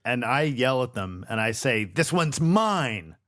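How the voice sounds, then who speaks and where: Male RPG player, medium pitch, casual and wry table chat, slipping into in-character lines. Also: very animated, a little slow, fairly bright tone. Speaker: player in a tabletop roleplaying game.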